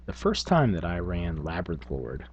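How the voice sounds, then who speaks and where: A man's voice: deep voice, wildly swooping pitch, normal speed, darkish tone, slightly rough, muffled recording. A man hosting a tabletop gaming vlog, talking casually in a rambling, self-effacing, unscripted way. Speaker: tabletop gaming video host.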